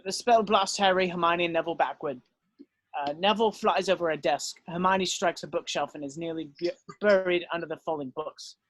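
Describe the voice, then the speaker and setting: A man narrates stage directions from a script. His voice sits a little high for a man, and the sound is dry and free of room echo. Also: brisk, fairly bright tone.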